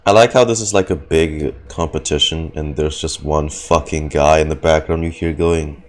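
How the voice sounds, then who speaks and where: A man's voice: rumbling low, steady tone, moderate pace. A man giving casual livestream reactions to a game, groaning and exasperated. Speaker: game streamer reacting to a story quest.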